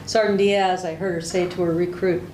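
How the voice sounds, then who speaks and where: A woman's voice: on the low side, flat delivery, normal speed, a little mellow, distant mic, slight background noise. Older woman recalling stories, roomy echo. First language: Hungarian. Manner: candid, plain-spoken storytelling. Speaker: veteran interviewee. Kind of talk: oral history interview.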